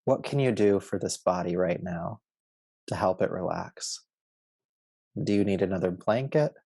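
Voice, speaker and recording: Casting: male meditation teacher, lowish voice with creaky fry, soothing and measured, guiding relaxation. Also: dark tone, dry recording. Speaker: yoga nidra meditation teacher.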